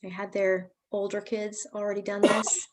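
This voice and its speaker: A woman hosts a parent session on a video call. She sounds chatty and reassuring, with a voice at middle pitch. She keeps her talk friendly and easygoing. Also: flat delivery, moderate pace, very noisy. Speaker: pre-college program staff host. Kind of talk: casual virtual information session.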